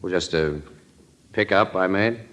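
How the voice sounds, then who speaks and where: An actor playing a wry, skeptical, streetwise newspaper photographer in an old-time radio crime drama, with clipped, slangy wisecracks. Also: male voice, low-pitched, unhurried, a bit bright. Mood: casual, cagey. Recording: dull, lo-fi old radio recording.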